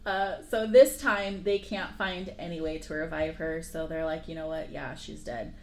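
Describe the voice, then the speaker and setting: A woman host casually retelling a dark fairy tale in an informal, slangy way, with joking asides. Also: low-pitched, normal speed. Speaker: podcast host. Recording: roomy echo with faint background noise.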